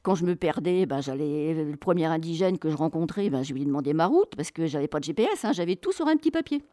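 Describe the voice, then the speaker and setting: Expressive, lower-voiced woman telling travel stories. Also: fast, no echo, clean studio sound. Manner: chatty, colloquial, expressive, acts out dialogue. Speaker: interview guest, author. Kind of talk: animated travel storytelling.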